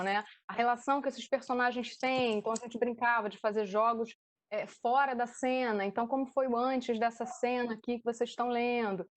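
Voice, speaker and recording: A woman with a Brazilian accent, speaking as a theatre director and guest, explaining things off the cuff in an online debate. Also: a little high.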